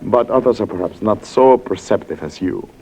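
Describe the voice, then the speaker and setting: A man's voice: fairly low pitch, lively intonation, normal speed, dark tone. An actor playing a suave, oily stage mystic and con man in a 1940s radio drama, formal and courtly. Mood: suave, amused. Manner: courtly, formal, smooth-talking. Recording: dull old radio recording.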